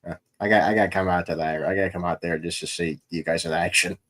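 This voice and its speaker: A man's voice: deep voice, fairly even intonation, quick pace, a bit bright. A man hosting a casual interview about his creative work, talking as a writer. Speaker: podcast host and comics writer.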